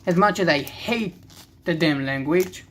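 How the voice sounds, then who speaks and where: A young man with an Israeli accent, a native Hebrew speaker, rambling candidly and haltingly as he hosts a casual video. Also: on the high side, a bit bright, a little hiss or hum. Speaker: card-opening video host. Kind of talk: casual rambling commentary while opening cards.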